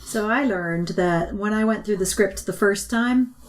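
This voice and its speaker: A woman's voice: mid-range voice, unhurried, poor audio. A woman being interviewed as a podcast creator, talking in a casual, reflective way.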